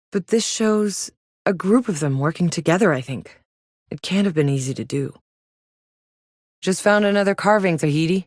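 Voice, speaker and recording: A woman's voice: deep voice, darkish tone, no echo, clean studio sound. An actress playing a tough, sardonic woman mercenary in a video game, speaking in a wry, blunt, colloquial way. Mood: curious, puzzled, then wry, reporting. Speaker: video game protagonist voice actor. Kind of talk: scripted game dialogue.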